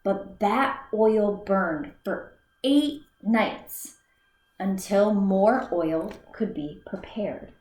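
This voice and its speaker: A woman teacher reads a children's story aloud, unhurried and deliberate, breaking the text into small phrases. Now and then she trips and restarts a word. Her voice carries a noticeable echo from the room around her. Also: mid-range voice, a little mellow. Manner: slow, careful read-aloud with small slips and restarts. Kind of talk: reading a children's book aloud. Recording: echoey room.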